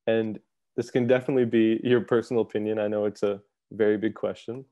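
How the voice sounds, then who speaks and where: A young man from Canada hosting a literary journal's interview over a video call, asking questions in a friendly, relaxed way full of fillers. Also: fairly low pitch, quick pace, highs cut off. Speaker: host, co-editor of a literary journal. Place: home room. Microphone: earphone mic on video call.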